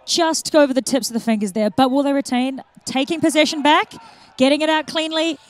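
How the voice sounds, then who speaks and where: A woman's voice: high voice, fairly quick. A woman with a New Zealand accent giving conversational live sports commentary with an inquisitive manner.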